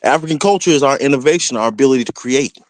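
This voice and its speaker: A man's voice: medium pitch, rapid-fire, crowd noise. A man calling in to a talk show who argues insistently and keeps repeating his points. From Oklahoma, United States. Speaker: caller.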